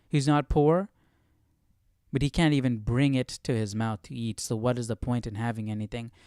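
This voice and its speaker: A man's voice: mid-range voice, steady tone, normal speed, a little mellow, clean tone, no room sound, clean studio sound. A man hosting a live study stream, thinking aloud in casual commentary and often repeating and rephrasing himself.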